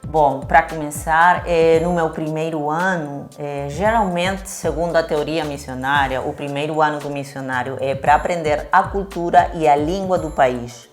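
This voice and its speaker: A woman's voice: low voice, normal speed, hardly any pauses, soft background music. A woman from Peru speaking Portuguese with a Spanish accent, explaining things conversationally as an interviewed missionary and often correcting herself. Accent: Spanish-accented Portuguese, Peruvian.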